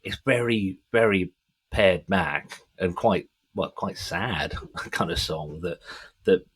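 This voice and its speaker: Deep husky man, enthusiastic. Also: slow, a bit bright. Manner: enthusiastic, sweary fan talk. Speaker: music podcast host. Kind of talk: casual music chat.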